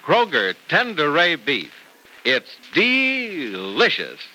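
A man's voice: fairly high pitch, huge pitch swings, takes it slowly, bright, forceful tone. An American man announcing in a polished, emphatic 1940s radio style, stretching out key words. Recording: noisy vintage radio recording.

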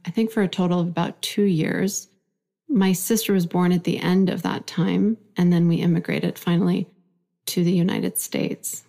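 A woman's voice: lowish voice, creaky, warm tone. A woman in her forties, from Iran, telling her life story reflectively in an interview. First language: Farsi. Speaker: guest. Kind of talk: conversational interview.

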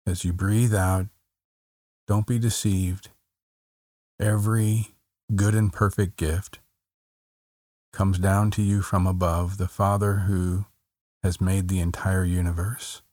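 Casting: man with a very low voice, unhurried, gentle meditation guide, dry close sound. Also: no echo. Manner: slow, soft, calm, repeats phrases with long pauses.

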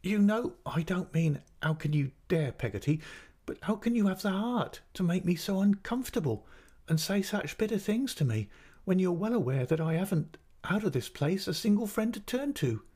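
A man's voice: a little high, a little fast, few pauses, dark tone, voice pushed up, lightly acted. A man voicing a petulant, self-pitying young mother in a storybook reading, with plaintive, dramatic protests. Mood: tearful indignation.

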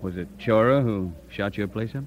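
A man's voice: lowish voice, normal speed, highs cut off, slight background noise, lightly acted. An American man acting the part of a well-dressed Easterner back out West in an old-time radio Western drama. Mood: inquiring. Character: Will Coleman, well-dressed Easterner returned to the gold-rush West. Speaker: lead actor and narrator.

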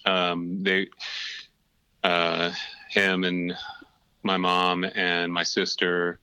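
Deep-voiced man telling stories. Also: drawn-out words, clean tone, dry recording, poor audio. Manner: relaxed, rambling storytelling with many fillers. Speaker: podcast guest. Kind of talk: casual chat.

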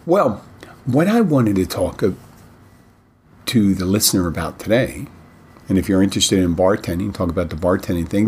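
A middle-aged man hosting his bartending podcast in a casual, rambling way, his voice a little rough from a cold he is getting over. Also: fairly low pitch, darkish tone, slight background noise. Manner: rambling, halting, many restarts. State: recovering from a head cold, slightly rough voice. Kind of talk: casual solo podcast talk.

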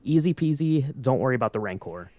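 A man's voice: mid-range voice, lively intonation, fast, warm tone, no room sound. A man on a podcast panel, chatting casually in an easygoing, joking way. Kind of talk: casual chat.

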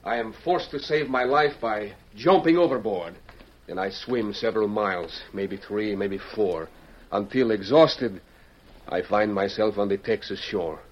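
A man's voice: fairly low pitch, a little slow. A man playing a proud, courteous fugitive Mexican revolutionary with a Mexican accent, speaking earnestly and formally in an old-time radio drama. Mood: agitated, impassioned. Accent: Mexican Spanish. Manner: earnest, formal, theatrical phrasing. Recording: muffled lo-fi vintage radio recording.

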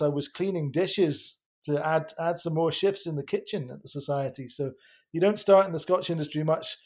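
A man's voice: on the high side, darkish tone. A Scottish man chatting as a podcast guest, laughing often and restarting his sentences. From Scotland. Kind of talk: casual podcast conversation.

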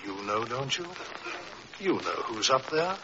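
An actor playing a resolute, protective police inspector in an old-time radio drama, urgent and earnest. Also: male voice, medium pitch, big pitch swings, a little slow. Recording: hissy, dull vintage radio recording.

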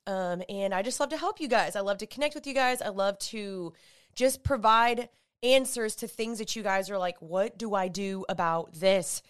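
A woman's voice: medium pitch, normal speed, smooth. Stuffy-nosed woman podcasting. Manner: chatty, upbeat, with filler likes. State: stuffy, congested nose. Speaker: podcast host. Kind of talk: casual solo podcast talk.

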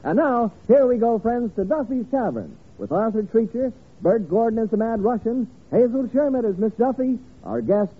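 A man's voice: extremely high pitch, big pitch swings, moderate pace, hardly any pauses, husky. An American man announcing a radio show in 1940s style. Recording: thin, phone-like vintage radio recording.

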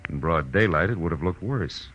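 An actor playing a tough, wry American private detective in 1940s radio style, narrating and trading punchy lines. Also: male voice, sits low, lively intonation, normal speed, a bit bright, a little hiss or hum. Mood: wry, brisk. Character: wisecracking hard-boiled male private detective. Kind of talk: 1940s radio acting, first-person narration. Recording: muffled old radio broadcast with music.

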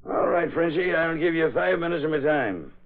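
An actor playing a gruff, bossy police sergeant, authoritative and slangy, in an old radio crime drama. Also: male voice, fairly high pitch, expressive, normal speed. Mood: bossy, impatient. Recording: tinny vintage radio recording.

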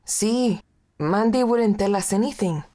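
A woman's voice: mid-range voice, measured pace, dark tone, close to an everyday voice. An actress playing an older widowed woman who is motherly and teasing. She mixes Spanish endearments into her talk. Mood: friendly. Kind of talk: audio drama acting.

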